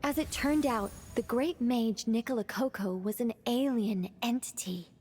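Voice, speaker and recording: High-voiced, theatrical storytelling mage. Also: female voice, a little slow, a little mellow, faint music behind. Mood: dramatic. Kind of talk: video game voice acting, dramatic narration.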